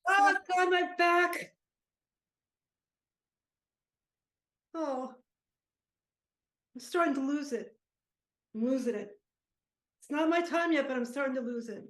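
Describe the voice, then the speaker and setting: A woman playing a cranky, sentimental aging ex-baseball pitcher with an aching back, rambling and muttering in an improvised monologue. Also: moderate pace, long pauses, lightly acted. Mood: pained. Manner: rambling, muttering, groaning, talks fondly to objects. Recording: echoey room.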